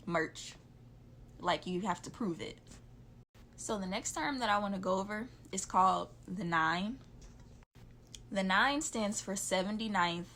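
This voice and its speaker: A woman's voice: lowish voice, fairly bright tone, a little hiss or hum. A young woman from the south side of Chicago, with a Chicago accent, chatting casually as she explains local slang. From south side of Chicago, USA.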